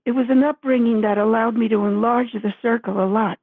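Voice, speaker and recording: An older woman from the Dominican Republic, a native Spanish speaker, telling stories thoughtfully with many pauses over the phone. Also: on the high side, fairly even intonation, slight background noise. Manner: thoughtful, measured, many uhs. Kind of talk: interview storytelling. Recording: gravelly phone line.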